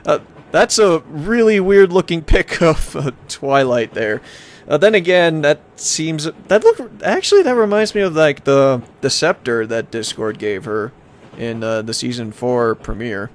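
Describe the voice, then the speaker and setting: A man's voice: a little high, lively intonation, measured pace. A man hosting a gaming playthrough, reading visual novel text aloud and breaking off for joking, sarcastic asides. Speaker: let's play host.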